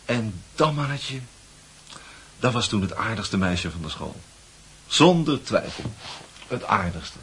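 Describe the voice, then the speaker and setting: Low-voiced breezy schoolboy. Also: male voice, sing-song, slow pace, pauses often, a bit bright, slight background noise, heavily acted. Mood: nostalgic. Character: breezy, boastful teenage schoolboy playing generous host. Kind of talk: Dutch radio play dialogue.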